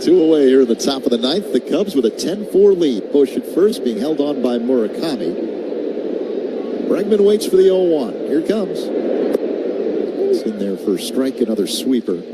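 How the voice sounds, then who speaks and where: An American man calls a baseball game for radio as the play-by-play announcer. His voice sits at a medium pitch, and heavy ballpark noise runs constantly behind it. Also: big pitch swings, normal speed. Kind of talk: live radio sports commentary. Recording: radio broadcast with loud stadium noise. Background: loud ballpark ambience.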